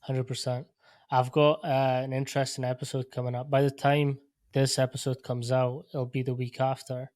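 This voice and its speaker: A man hosting a casual podcast interview, relaxed and loose, with lots of "like" and "sort of". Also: medium pitch, normal speed, no room sound, clean studio sound. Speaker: podcast host.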